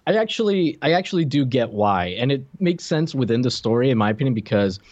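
A man's voice: mid-range voice, big pitch swings, fast talker, a little mellow. A man co-hosting a podcast, offering casual, conversational and opinionated commentary. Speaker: podcast co-host. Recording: slightly dull, lo-fi microphone sound.

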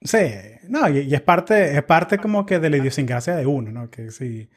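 A man's voice: fairly high pitch, moderate pace, slightly rough. A man from Venezuela hosting a tech interview podcast, chatting in a friendly way and laughing easily. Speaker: podcast host. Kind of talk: conversational interview.

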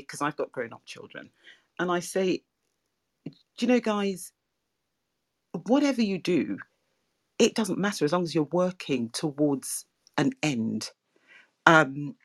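A middle-aged woman with a soft voice, hosting a casual property chat over a video call, chatty and laughing often. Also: sits low. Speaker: property podcast host. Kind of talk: casual online interview chat.

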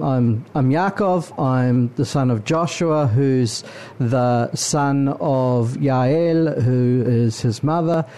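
Mellow-voiced New man, earnest. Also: mid-range voice, clean tone. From New Zealand. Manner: earnest, measured, thoughtful. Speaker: podcast guest. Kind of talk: conversational interview.

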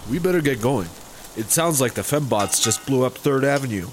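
An actor playing an anxious, cautious buddy-cop sidekick in a sci-fi comedy audio drama, worried and urging. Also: male voice, mid-range voice, expressive, fairly quick, slight background noise, lightly acted. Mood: urgent worry.

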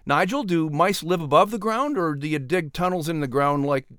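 A man's voice: on the high side, animated, normal speed, a bit bright, smooth, no room sound, studio quality. A man presenting a scripted comedy podcast as its narrator and announcer. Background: music.

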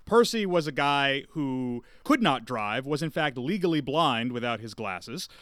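A man playing a tabletop game, laying out a character's backstory in a measured, articulate way. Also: mid-range voice, animated, a bit bright, no room sound.

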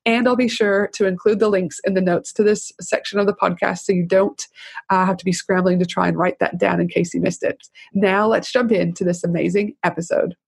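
An upbeat woman hosts a podcast, bubbly and eager as she talks. Her voice sits at a middle pitch and sounds dry and close to the mic. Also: fairly even intonation, a little fast, creaky, breathy edge, no echo. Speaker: podcast host. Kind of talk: podcast interview and ad read. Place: podcast recording.

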